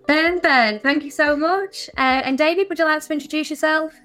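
A woman's voice: sits high, normal speed, a bit bright, faint music behind. A woman hosting a podcast panel, introducing the guests and moderating the talk in a friendly, upbeat way. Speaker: podcast host.

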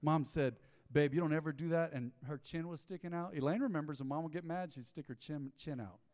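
Folksy preacher in a reverberant hall. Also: male voice, brisk. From Texas. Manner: folksy storytelling, swings from low asides to loud emphatic bursts. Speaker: pastor. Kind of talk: sermon. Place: church sanctuary.

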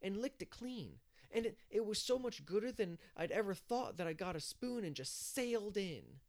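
Man putting on a high, cheeky little boy. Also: big pitch swings, moderate pace, creaky, exaggerated voice. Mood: guilty, pleading. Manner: childish grammar, pleading insistence.

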